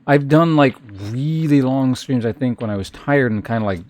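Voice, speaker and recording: A man giving casual game commentary as a streamer, musing in an unhurried, hesitant way and reading game text aloud. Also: medium pitch, a little mellow. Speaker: live streamer playing a video game.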